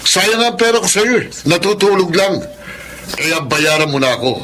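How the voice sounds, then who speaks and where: An actor with a Filipino accent playing a stern, bullying gambling-den boss collecting a debt in a radio drama. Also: male voice, sits high, expressive, moderate pace, pushed and bright, a little hiss or hum. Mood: menacing. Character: menacing gambling-den boss, demanding loan creditor.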